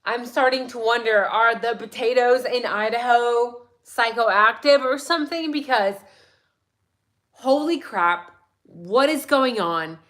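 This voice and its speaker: A woman hosting a casual true crime livestream, chatty and excitable, her voice a little raspy today. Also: a little high, takes it slowly. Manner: chatty, excitable, many "like"s and "right?"s. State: slightly raspy voice.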